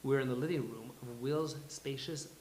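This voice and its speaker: A man hosting a live table read, announcing and reading stage directions in a halting, deliberate way. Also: medium pitch, a little mellow, slight background noise. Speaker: host and stage-direction reader. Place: live venue with audience. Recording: echoey live venue.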